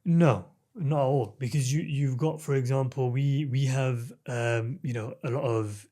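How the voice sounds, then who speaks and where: A man of middle pitch sits as a guest in a podcast studio interview. He explains his points patiently, circling back with "right?" and a few fillers as he goes. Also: steady tone, a little slow, warm tone. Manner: explains patiently, with many tag questions and fillers. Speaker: recruitment manager, guest. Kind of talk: casual interview chat.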